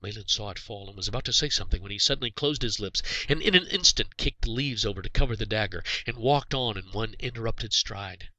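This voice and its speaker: A man narrating a scripted storybook tale as its author, in a dramatic, expressive way. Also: lowish voice, fast, few pauses, no echo, rough recording. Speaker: author reading own story.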